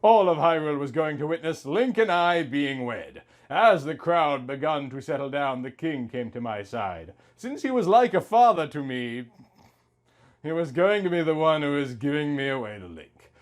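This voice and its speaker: A young man narrates a story with exaggerated, mock-serious drama. His voice sits a little high and swings widely in pitch from phrase to phrase. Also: expressive, normal speed. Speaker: host reading amateur fan fiction.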